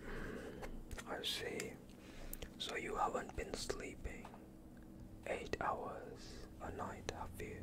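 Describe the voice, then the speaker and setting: Young man, breathy whisperer for ASMR medical roleplay; soothing, very unhurried delivery. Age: young adult. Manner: calm, soothing, unhurried questioning. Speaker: ASMR performer playing a doctor.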